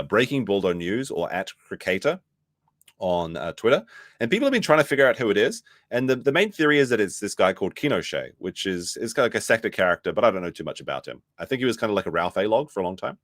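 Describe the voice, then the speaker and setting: A man with a slightly hoarse voice hosting a livestream, reading posts aloud and reacting casually in a rambling, wry way. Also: on the low side, dry recording, studio quality. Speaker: livestream host.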